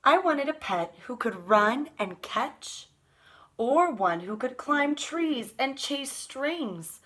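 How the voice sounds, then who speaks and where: A woman voicing a reluctant, grumbling little boy in a picture book, with emphatic, drawn-out storybook delivery. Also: high-pitched, sing-song, fairly bright tone, voice pushed up, exaggerated voice. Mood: disappointed, emphatic.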